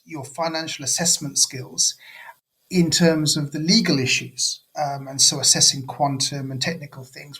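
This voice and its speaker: A man's voice: on the high side, fairly bright tone. An older man hosting a podcast interview, effusive and chatty, with plenty of fillers. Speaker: podcast host.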